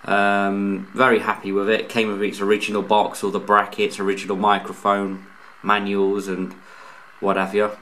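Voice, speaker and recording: Guy with a lowish voice doing a laid-back unscripted review, bit hesitant, explaining stuff. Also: monotone, normal speed, a bit bright, slight background noise. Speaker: radio hobbyist reviewer, channel host. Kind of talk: casual unscripted product review. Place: indoors, off camera beside a tabletop radio.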